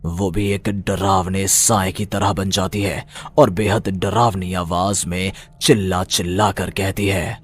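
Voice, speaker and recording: A man's voice: low-pitched, steady tone, slow, few pauses, a bit bright, slight background noise. Male narrator of a horror tale. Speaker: story narrator. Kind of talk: dramatic scripted horror narration.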